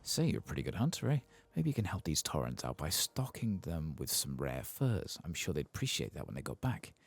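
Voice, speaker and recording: A man's voice: a bass voice, very animated, a little fast, gravelly, husky, full character voice. A man voicing a chummy, informal game quest-giver who likes a wager, with a casual, folksy manner. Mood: friendly, persuasive.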